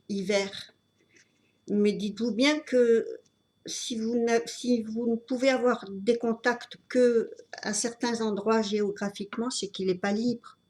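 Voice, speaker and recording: A woman with a cold giving an unscripted tarot reading, talking in a halting way with many fillers and restarts. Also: mid-range voice, a little mellow. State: has a cold. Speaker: tarot reader.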